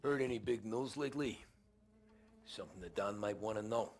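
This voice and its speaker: A man's voice: lowish voice, moderate pace, slight background noise, natural voice. An actor playing an earnest, tough mob henchman in a video game cutscene, terse and direct. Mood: businesslike. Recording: music behind the voice.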